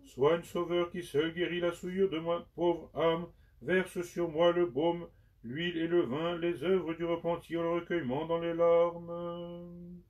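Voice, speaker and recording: A man giving a slow, solemn liturgical recitation of penitential prayers in measured, prayerful phrases. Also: fairly high pitch, little pitch movement, darkish tone. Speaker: liturgical reader. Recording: dull sound from far off the mic.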